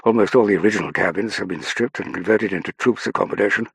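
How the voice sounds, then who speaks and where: A self-assured young Englishman of the upper classes, acted by a male narrator, breezy and courteous as a storybook official. His voice is lifted and projected, with a little gravel in it and a close, dry sound. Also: fairly low pitch, fast, dry recording, voice pushed up. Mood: breezy, chatty.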